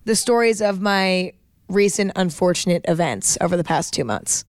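Raspy young woman, chatty podcast host. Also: lowish voice, animated, moderate pace, no echo, studio quality. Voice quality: raspy today. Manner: chatty, rambling with lots of likes. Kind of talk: casual storytelling monologue.

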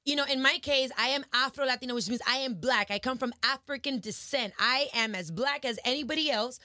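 A woman's voice: high-pitched, brisk, pushed and bright, no room sound, clean studio sound. A young woman from a Dominican family, a guest in an interview, talking passionately and emphatically and dropping in Spanish phrases. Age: young adult. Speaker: guest, singer and entertainer. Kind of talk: casual interview talk.

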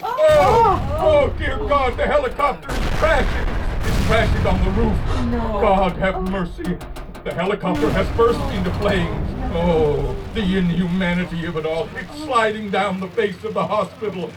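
An actor playing a horrified, melodramatic field reporter, halting as he reports from the scene in a radio drama. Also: high voice, lively intonation, very noisy. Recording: distant field broadcast, crowd clamour behind.

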